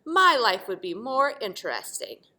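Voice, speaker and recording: Lady doing a restless storybook shape, grumbly and wistful, pitch jumping all over. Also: wildly swooping pitch, moderate pace, bright, forceful tone, full character voice. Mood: wistful, hopeful. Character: restless, discontented talking triangle in a children's storybook. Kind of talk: children's storybook read-aloud.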